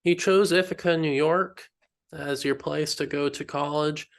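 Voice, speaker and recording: A man's voice: on the high side, fairly even intonation, a little slow, vocal fry. A man hosting a podcast, asking questions casually and reacting with emphatic enthusiasm. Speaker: podcast host. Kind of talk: casual interview.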